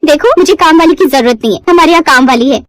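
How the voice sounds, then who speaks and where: Woman acting a high-voiced haughty city rival. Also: fast, no room sound, lightly acted. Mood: irritated. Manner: snappish, dismissive.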